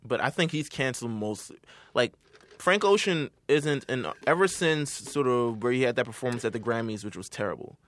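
A man's voice: mid-range voice, expressive, moderate pace, fairly bright tone. A man co-hosting a podcast in casual chat, laid-back and rambling, swearing freely. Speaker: podcast co-host.

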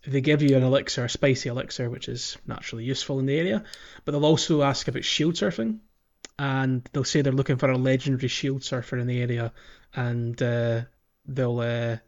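A man's voice: medium pitch, steady tone, a little fast, darkish tone. A man with a mid-range voice chats as a gaming podcast co-host. He is informal and conversational, often thinking aloud, and the recording is a little dull and lo-fi. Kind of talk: casual gaming podcast chat.

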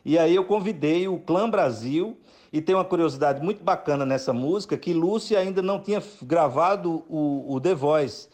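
Male songwriter, lively anecdotal delivery, voice sitting a bit high. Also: moderate pace. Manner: chatty, animated, with warm praise for collaborators. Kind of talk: casual storytelling.

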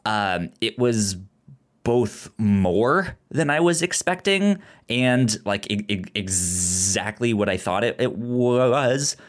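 A man co-hosting a podcast in casual film discussion, halting and often stumbling over or repeating his words. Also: lowish voice, lively intonation. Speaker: podcast co-host.

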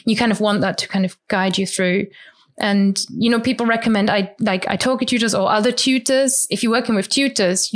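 A woman from Germany, a guest in an interview, explaining her study habits in a chatty way with many restarts, sometimes acting out quotes in a higher voice. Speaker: interview guest, language learner. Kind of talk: casual conversational explanation.

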